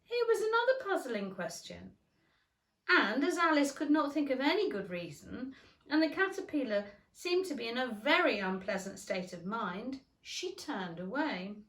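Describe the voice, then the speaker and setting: A woman reading a children's story aloud in a deliberate, expressive way. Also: fairly high pitch. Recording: roomy, echoing indoor sound.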